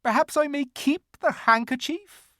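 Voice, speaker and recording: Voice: bright, forceful tone, dry recording, studio quality. Tumnus, a reader voicing a tearful male faun. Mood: sad, gentle. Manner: pleading, high and drawn-out. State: crying. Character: timid tearful male faun, gentle and frightened. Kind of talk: audiobook character voice.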